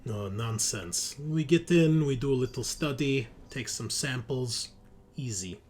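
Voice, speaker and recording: Man playing a woman scientist, tabletop sci-fi game, halting foreign phrasing, breezy, slow, noisy line. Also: mid-range voice, animated, darkish tone, natural voice. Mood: breezy, dismissive.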